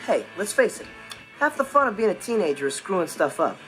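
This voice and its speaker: A man's voice: sits high, sing-song, brisk, music in the background. A young American man playing a cool, smug, mischievous teenage boy, delivering a sitcom monologue with cocky nonchalance. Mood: nonchalant. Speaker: sitcom actor.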